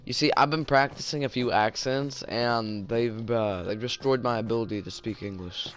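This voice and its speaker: A man's voice: medium pitch, normal speed, fairly bright tone. A young man with a Southern US accent, rambling and joking through casual gameplay commentary while tired. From southern United States. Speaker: gaming YouTuber.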